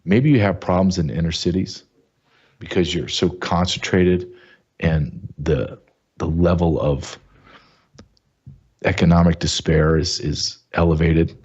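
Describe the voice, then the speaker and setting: Deep husky guy hosting a talk show, emphatic, keeps restarting his words, kinda muffled lo-fi sound. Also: fairly even intonation, unhurried, pauses often, a little mellow. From American South. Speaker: show host. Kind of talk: casual commentary monologue.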